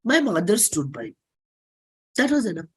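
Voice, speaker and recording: A middle-aged performer with an Indian accent, giving a dramatic autobiographical reading in measured, deliberate phrases. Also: mid-range voice, big pitch swings, slightly rough. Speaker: singer and performer.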